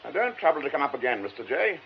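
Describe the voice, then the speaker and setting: A man's voice: medium pitch, very animated. A male actor playing an officious but kindly police sergeant in a radio drama, matter-of-fact and firm. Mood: businesslike. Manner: matter-of-fact, unhurried authority. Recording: dull radio recording with clear noise.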